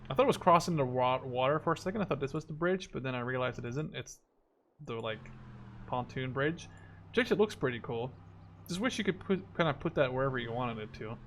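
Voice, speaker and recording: A man's voice: medium pitch, animated, fairly quick, a little hiss or hum. A man in his twenties, a native Dutch speaker, giving casual gameplay commentary. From Canada-based, Dutch. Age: 20s. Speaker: gaming video creator. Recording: game gunfire behind the voice. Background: strategy game battle sounds and gunfire.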